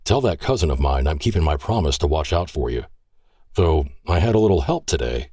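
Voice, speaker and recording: A man voicing a gentle, protective young man speaking lightly. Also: rumbling low, lively intonation, fairly quick, husky, dry recording, studio quality, heavily acted. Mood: warm grin.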